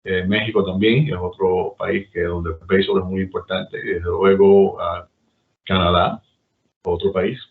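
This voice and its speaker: A man's voice: deep voice, little pitch movement, measured pace, creaky. A middle-aged Cuban man with a Cuban accent, telling stories in a rambling casual chat. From Cuba. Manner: storytelling, rambling with restarts. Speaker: guest. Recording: tinny phone-like line.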